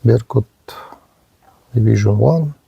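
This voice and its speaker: Slow, careful man demoing a radio. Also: on the low side, gravelly, mellow, slightly rough, no echo, lo-fi sound. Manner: halting, careful, with pauses and fillers. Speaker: radio reviewer. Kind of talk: slow hands-on product demo narration.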